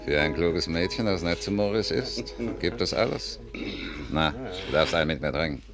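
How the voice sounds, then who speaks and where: A self-important ladies' man in a vintage radio drama. His low voice swaggers and flirts, full of his own importance. Loud music runs behind him. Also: expressive, normal speed. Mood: flirtatious. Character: boastful, flirtatious man-about-town courting a barmaid. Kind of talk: 1950s German radio play acting.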